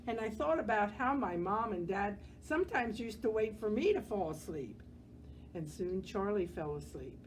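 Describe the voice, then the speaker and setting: A woman reading a children's picture book aloud as a library storyteller, gentle and expressive, pausing to comment on the pictures. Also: mid-range voice, slight background noise, poor audio.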